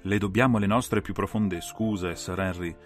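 A man voicing an astute, composed gentleman detective in a dramatized audiobook, speaking formally and decisively. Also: low-pitched, steady tone, normal speed, soft background music, lightly acted.

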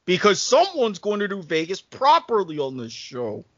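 A man's voice: sits high, wildly swooping pitch, moderate pace, no room sound. A man chatting casually as a panelist on a podcast. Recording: dull, lo-fi close sound.

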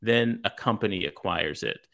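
A man from Los Angeles answers as a guest writer in a flat, monotone delivery full of ums and restarts. His voice sits fairly low but has a bright, forward edge. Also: normal speed, vocal fry. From Los Angeles, USA. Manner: monotone, hesitant with many fillers. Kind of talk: casual interview answers.

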